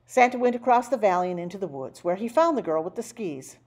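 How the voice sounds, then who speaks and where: A woman reads a picture book aloud to children. Her pitch moves in lively swings at a middling height, and her delivery is animated and expressive. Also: quick pace. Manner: animated, acting out dialogue. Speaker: storybook reader.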